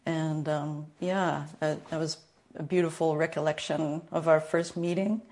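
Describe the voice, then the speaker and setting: An American woman speaking as an interview guest, telling personal stories reflectively and pausing often in thought. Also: low voice, steady tone, a little mellow. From Northern Virginia, United States. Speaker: guest, martial arts and spiritual teacher. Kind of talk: reflective personal storytelling in conversation.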